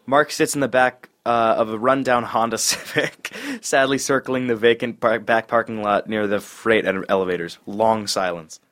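A man's voice: on the low side, steady tone, normal speed, few pauses, fairly bright tone. A man narrating stage directions at a comedy table read in a dry, clipped way.

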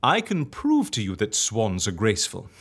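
A man playing a posh, sentimental and excitable agency boss in a comedy audio drama, with a theatrical, effusive manner. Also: fairly low pitch, moderate pace, slightly rough, heavily acted. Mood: flustered.

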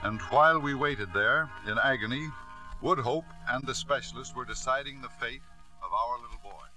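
A man's voice: mid-range voice, normal speed, lightly acted, rough recording. An actor in a 1950s radio drama playing a devoted, driven father who speaks emphatically and repeats himself. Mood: grave. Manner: emphatic, repeats himself, falters when anxious. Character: devoted, driven father of a sick son, narrating his past. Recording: vintage radio broadcast with music.

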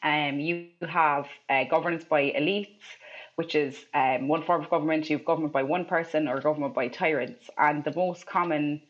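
A woman's voice: sits low, steady tone, moderate pace. Woman co-host, casual history explainer on a muffled, lo-fi mic. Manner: explanatory, lightly laughing.

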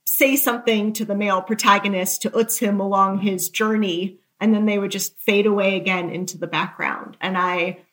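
Woman, interviewed author, conversational storytelling, candid, self-deprecating, loosely hedged phrasing, mid-range pitch. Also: steady tone, moderate pace, few pauses, a bit bright, low-quality recording. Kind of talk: conversational interview, telling anecdotes.

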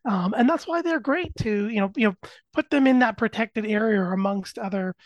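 A man co-hosting a garden podcast, giving steady, informative explanations. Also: sits high, brisk, dry recording. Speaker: podcast co-host, horticulture expert. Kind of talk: casual podcast chat.

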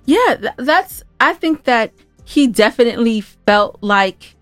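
A woman's voice: on the high side, very animated, slow pace, no room sound, soft background music. A woman co-hosting a podcast in casual conversation, speaking expressively and with emphasis. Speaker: podcast co-host and audio drama writer.

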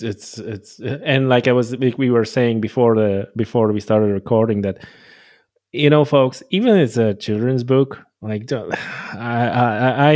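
A man's voice: mid-range voice, a little mellow. A man from Poland, hosting a podcast interview, eager and rambling with frequent restarts. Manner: enthusiastic, rambling, many restarts. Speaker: podcast host. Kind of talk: conversational interview.

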